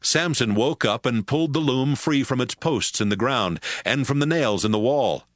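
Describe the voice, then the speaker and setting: A man narrating scripture in a steady, even storytelling manner. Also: medium pitch, moderate pace, no room sound, clean studio sound.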